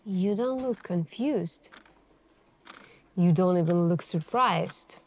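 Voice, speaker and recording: A woman doing an ASMR roleplay as a suspicious female detective, questioning in a deliberate, pointed way with many pauses. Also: fairly low pitch, a little mellow. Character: calm, suspicious, probing female police detective. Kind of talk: ASMR interrogation roleplay, slow deliberate delivery.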